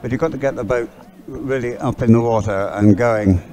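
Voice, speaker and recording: A man giving live race commentary, explaining things in a halting way. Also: medium pitch, mellow. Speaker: sports commentator.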